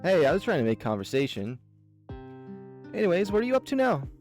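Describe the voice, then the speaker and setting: A man's voice: a little high, big pitch swings, a little fast, darkish tone, faint music behind, voice pushed up. A man voicing an eager, friendly young male orange dog who talks in a bouncy, chatty way.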